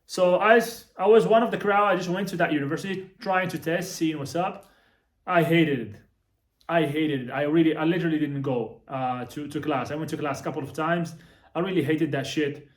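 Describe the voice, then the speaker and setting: A man's voice: on the high side, brisk. A young man from Morocco telling his life story casually to the camera, repeating himself and swearing now and then. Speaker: vlogger, coach. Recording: echoey room.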